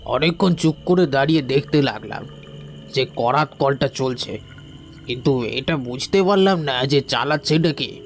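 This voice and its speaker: Man playing a higher-voiced rustic village sawmill worker. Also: normal speed, soft background music, lightly acted. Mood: uneasy, recounting. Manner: hesitant, colloquial recounting with small interjections. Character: rustic male sawmill worker, uneasy but bold witness. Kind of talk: Bengali horror audio drama acting.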